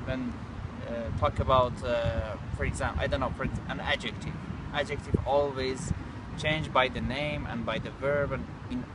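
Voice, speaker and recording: A young man from Iran whose first language is Persian, answering interview questions in halting English with many fillers and restarts, outdoors in a park. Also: mid-range voice, fairly even intonation. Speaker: guest. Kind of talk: casual interview. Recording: loud traffic and wind noise outdoors.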